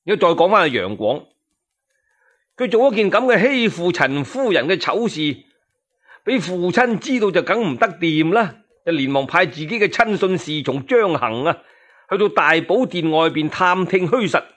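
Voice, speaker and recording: A man's voice: high voice, very animated, moderate pace, a bit bright. A man with a Cantonese accent telling a story as scripted, dramatic narration.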